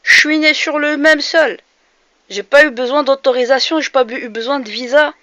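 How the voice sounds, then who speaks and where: Forceful, bright-voiced woman vlogger in her forties. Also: sits high, normal speed, a little hiss or hum. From France. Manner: earnest, grows heated and emphatic, frequent hesitations. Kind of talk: direct-to-camera opinion monologue. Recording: slightly dull lo-fi recording.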